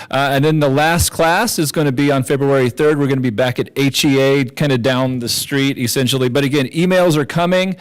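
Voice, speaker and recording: A man gives informal announcements to a class in a relaxed, everyday way. He speaks in a middle register and keeps correcting himself as he goes. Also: mid-range voice, poor audio. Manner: casual, self-correcting. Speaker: class organizer. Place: synagogue chapel.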